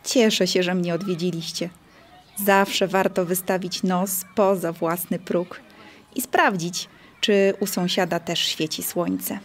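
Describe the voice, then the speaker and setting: A woman's voice: lowish voice, fairly even intonation, slow, a little hiss or hum. A woman voicing a kindly, hospitable goose in a children's audio drama, chatty and welcoming. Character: kindly, hospitable female goose, homely and wise.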